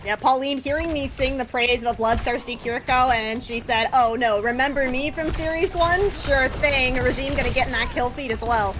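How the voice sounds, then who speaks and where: A woman's voice: sits high, normal speed, hardly any pauses, background noise. A woman giving live analysis and colour commentary on an esports match, explaining the play as it unfolds.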